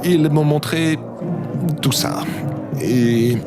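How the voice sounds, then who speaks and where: A man's voice: a little high, very slow, loud music behind the voice. A man playing a sheepish, evasive former president in a comedic audio drama, hesitant and rambling.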